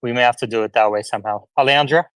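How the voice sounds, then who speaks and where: A man hosting a live audio space, casually chatting and moderating callers in a friendly, brisk way. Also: mid-range voice, a bit bright, clean tone, dry recording, rough recording.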